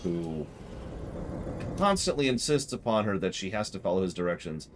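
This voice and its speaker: A man's voice: low voice, sing-song, normal speed, background noise. A man giving casual live commentary as a game streamer, emphatic and digressive.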